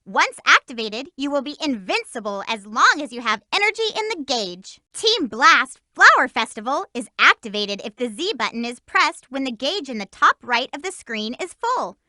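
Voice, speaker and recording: A woman's voice: high voice, big pitch swings, moderate pace, hardly any pauses, pushed and bright, no echo, heavily acted. A woman voicing a spirited, bossy teenage girl hedgehog in a video game, delivering hints in an upbeat, emphatic way, recorded close in a studio. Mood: instructive. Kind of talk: game voice lines and tutorial hints.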